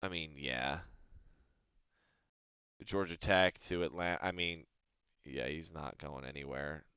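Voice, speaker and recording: A man with a low, smooth voice hosts his own sports video, reading a mock draft aloud. He reacts casually and with animation between the lines. The sound is dry and a little dull, as if from a modest home mic. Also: dry recording. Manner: animated, reads passages aloud then reacts with quick asides.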